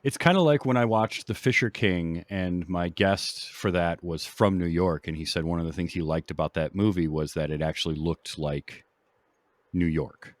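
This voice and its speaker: Low-voiced man, dry close sound. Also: moderate pace, no room sound. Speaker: podcast host. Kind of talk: casual film discussion.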